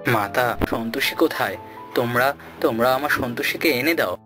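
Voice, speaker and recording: A man playing a lovesick young prince in a cartoon story, melodramatic and desperate, halting and repeating his words. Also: medium pitch, pushed and bright, soft background music, close to an everyday voice. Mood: pleading. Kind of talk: dramatic cartoon story acting.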